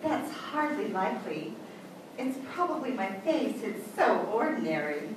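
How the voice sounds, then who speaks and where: A modest female nurse played by an actress speaks politely in a fairly high voice. It is old-time radio acting, recorded in a very reverberant space with clear noise. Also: very animated, measured pace. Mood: modest, composed. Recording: very echoey, distant, noisy stage recording.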